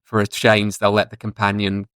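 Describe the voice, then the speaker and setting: A man's voice: lowish voice, monotone, normal speed, fairly bright tone, clean tone, no room sound, studio quality. A man in his thirties chatting casually and giving his opinions as a podcast guest. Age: 30s.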